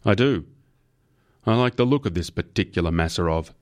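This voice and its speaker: A man's voice: low-pitched, animated, moderate pace. A man voicing a powerful, jovial company boss in an audiobook, blunt, emphatic and forthright. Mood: curious, approving.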